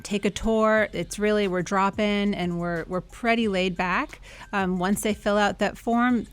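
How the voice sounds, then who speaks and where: A woman who runs a nonprofit, answering interview questions in a clear, friendly, step-by-step way. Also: medium pitch. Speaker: executive director of a nonprofit.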